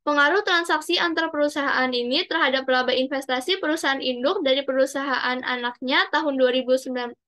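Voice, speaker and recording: High, bright young woman presenting. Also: brisk, few pauses. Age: young adult. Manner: formal, read-aloud delivery with small stumbles. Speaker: student presenter. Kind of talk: reading out worked exercise answers in a class presentation.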